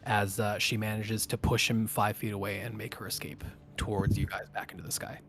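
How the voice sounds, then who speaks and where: A man running a tabletop game as game master, narrating the action casually and thinking aloud in halting phrases. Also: lowish voice, little pitch movement, over music.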